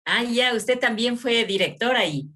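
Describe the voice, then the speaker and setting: Woman interviewer, fairly high voice, polite conversational questions. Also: unhurried, a bit bright.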